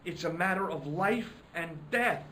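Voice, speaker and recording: A wry, dry young investigator. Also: male voice, high-pitched, animated, measured pace, pushed and bright, slight background noise, exaggerated voice. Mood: insistent. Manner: dry, wry, matter-of-fact. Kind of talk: video game voice acting.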